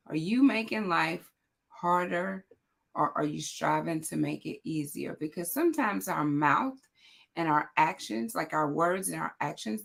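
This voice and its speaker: Raspy, hoarse woman host. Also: fairly low pitch. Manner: chatty, loud, talks straight to the audience. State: hoarse, voice cracking. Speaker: host of a morning motivational livestream. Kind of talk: casual motivational chat to viewers.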